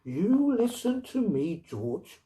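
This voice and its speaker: A man's voice: high voice, big pitch swings, slow pace, dark tone, pitched above the performer's own voice, exaggerated voice, low-quality recording. A man voicing an anxious, indignant wife and mother in a storybook reading, pleading and emphatic.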